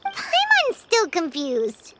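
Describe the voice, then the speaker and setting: A woman voicing a tiny, excitable, childlike fairy companion in an anime fantasy game, squeaky and easily puzzled. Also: slow, heavy background noise, full character voice. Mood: confused. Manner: squeaky, exclamatory, refers to self in third person. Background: loud game music.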